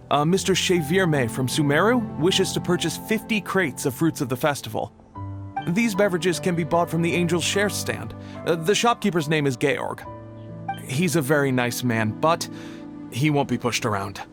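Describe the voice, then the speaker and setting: A man playing an earnest, anxious, overly polite young logistics worker in a video game, speaking formally and a little flustered. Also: on the high side. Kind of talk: video game cutscene acting. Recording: music behind the voice.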